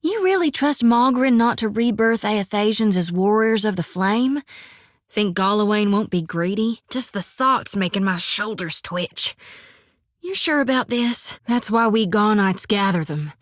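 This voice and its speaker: An actress voicing a devout, fiery young priestess in a video game, with a folksy drawl and country sayings, recorded on a dry studio mic. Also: female voice, medium pitch, animated, unhurried, no room sound, close to an everyday voice. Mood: earnest. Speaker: game companion character.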